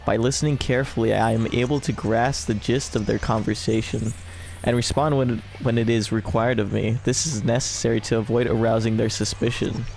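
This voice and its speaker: A man with a creaky, fry-laden voice hosts a gaming video over background music. He chats and jokes casually, then reads visual novel narration aloud at a medium pitch. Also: fairly even intonation, a little fast, darkish tone. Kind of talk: casual gameplay chat with friend, read-aloud narration.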